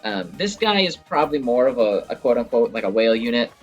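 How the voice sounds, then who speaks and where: A man's voice: medium pitch, big pitch swings, fast, lo-fi sound. A man giving casual, rambling game commentary as a video creator, talking like an enthusiastic fan with some mild swearing. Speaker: gaming video creator and host. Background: video game music.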